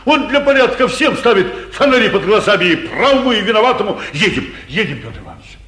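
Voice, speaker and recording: An actor playing a flustered, blustering, corrupt town governor, commanding and agitated, in a 1940s stage comedy for radio. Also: male voice, sits high, sing-song, fast talker, a bit bright. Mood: harried. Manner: commanding, agitated, muttering prayers and curses. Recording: roomy old 1940s radio recording.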